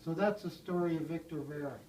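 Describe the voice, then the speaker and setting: Older guy with a higher voice spinning a long yarn, chuckling, mic way off. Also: fairly quick, mellow, a little hiss or hum. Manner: rambling, chuckles as he tells it. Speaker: blacksmith telling stories. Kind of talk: casual storytelling to a small group. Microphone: handheld video camera. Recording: distant from the mic, roomy.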